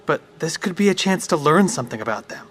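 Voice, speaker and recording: An actor playing a curious, persuasive survivor in an audio drama, speaking earnestly and urgently. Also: male voice, a little high, very animated, fast.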